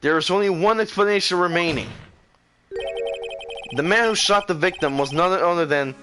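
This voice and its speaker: A man's voice: sits high, normal speed, over music, natural voice. A man voicing a nervous, earnest young defense lawyer from a courtroom video game, hesitant yet dramatic. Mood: confident. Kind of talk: video game voice-over reading.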